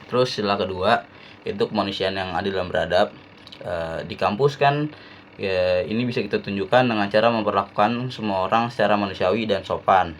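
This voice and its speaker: A young man from Jakarta, a university student giving a relaxed, slangy class-assignment talk with frequent fillers. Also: lowish voice, a little fast, slight background noise. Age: young adult.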